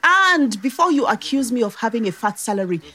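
An earnest environmental activist in an audio drama. She is determined and insistent, with a high voice that rises and falls sharply. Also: big pitch swings, fairly quick, pushed and bright. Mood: frustrated.

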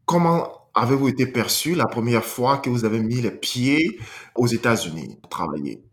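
A man's voice: medium pitch, expressive, normal speed. A man conducting an interview, asking formal and composed questions.

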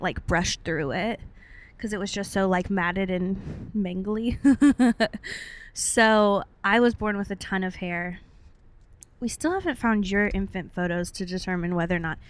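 A young American woman co-hosting a podcast, telling personal stories in an informal, chatty way with playful wording. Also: mid-range voice. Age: young adult. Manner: informal, chatty, uses playful made-up words. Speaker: podcast co-host. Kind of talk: casual chat, personal storytelling.

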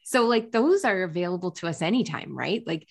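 Podcast host woman, low-pitched, thoughtful and encouraging, says like a lot. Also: lively intonation, fairly quick, creaky. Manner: reflective, encouraging, many "like" fillers. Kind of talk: casual interview chat.

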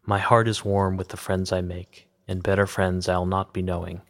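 A man's voice: low voice, flat delivery, normal speed, a bit bright. A man reading a poem aloud as a recitation. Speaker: poetry reader. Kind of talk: poetry recitation.

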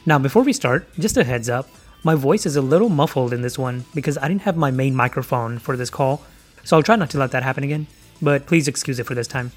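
Male host reading a show opener, soft music underneath. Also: mid-range voice, fast talker. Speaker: podcast host. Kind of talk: scripted intro narration.

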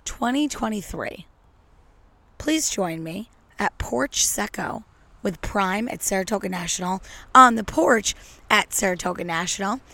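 A slightly hoarse woman hosting a casual solo podcast from home, talking into a microphone with a brash, joking, rambling manner. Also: medium pitch, bright, forceful tone, no room sound. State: a little hoarse. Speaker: podcast host. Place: at home on a couch.

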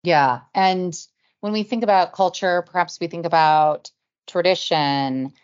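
A middle-aged woman hosting a podcast interview, asking thoughtful questions in a measured way. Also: fairly low pitch. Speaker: podcast host. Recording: muffled lo-fi audio.

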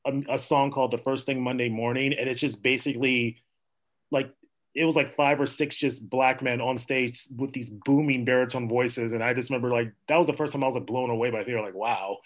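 A man's voice: mid-range voice, steady tone, a little fast. Chatty young man with a mid-range voice. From Minnesota, US. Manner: rambling, with many fillers and laughs. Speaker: podcast guest, actor and playwright. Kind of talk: casual interview chat.

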